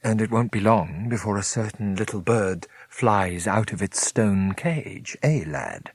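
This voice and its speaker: A man reading aloud, voicing a genial, scheming middle-aged man who talks in a smooth, friendly, confiding way. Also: fairly low pitch, expressive, measured pace, no echo, heavily acted.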